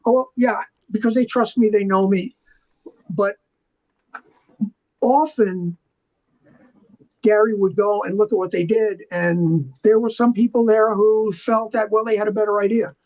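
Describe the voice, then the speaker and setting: A man's voice: high-pitched, fairly even intonation, measured pace, pauses often, darkish tone, rough recording. An older man telling casual anecdotes as an interview guest, acting out the dialogue in colloquial language. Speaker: interview guest, veteran visual effects artist.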